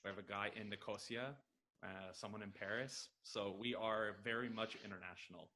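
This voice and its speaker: A man's voice: lowish voice, steady tone, sharp tone, slight background noise. A man in his thirties with an American accent from Los Angeles, a guest on a football podcast, answering eagerly with many fillers. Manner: enthusiastic, quick, with many fillers. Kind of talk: casual interview answers. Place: home room, video call. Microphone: headset.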